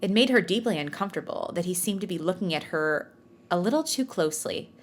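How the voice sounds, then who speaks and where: A woman's voice: lowish voice, animated, a little fast, slight background noise. A woman podcast host who reads fan fiction aloud in a storytelling style, with casual, self-mocking joking asides. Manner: jokey, self-mocking, bantering.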